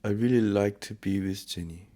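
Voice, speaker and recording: A man giving short, soft-spoken answers in casual chat with his partner, picked up from a distance. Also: fairly low pitch, normal speed. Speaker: vlogger's partner.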